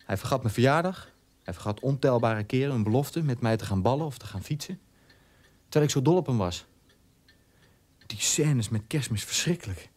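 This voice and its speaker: An actor playing a bitter, neglected German son in a radio drama, in a halting, conversational monologue. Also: male voice, medium pitch, expressive, darkish tone, natural voice. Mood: bitter, hurt. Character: bitter German son born 1960, neglected by his father.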